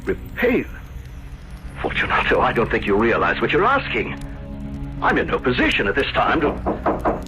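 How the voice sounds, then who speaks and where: A man's voice: mid-range voice, wildly swooping pitch, normal speed, pauses often, lo-fi sound, over music. An actor playing a proud, hard-pressed male wine grower in debt, emphatic and indignant, in a radio drama. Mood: uneasy. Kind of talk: 1970s radio drama acting.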